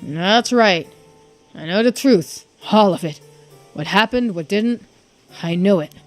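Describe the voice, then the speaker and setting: A woman voicing an excitable, theatrical curse-bearer in a video game, with dramatic, emphatic declarations. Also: medium pitch, slow pace, faint music behind, lightly acted. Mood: intense, proud.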